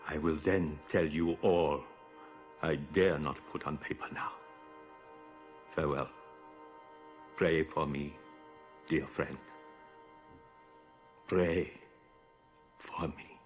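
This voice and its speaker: Very deep, grief-stricken old nobleman. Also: steady tone. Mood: grave, pleading. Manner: solemn, anguished, deliberate delivery. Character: grieving old nobleman vowing vengeance on a fiend. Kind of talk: radio horror drama acting. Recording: 1980s radio drama with music bed.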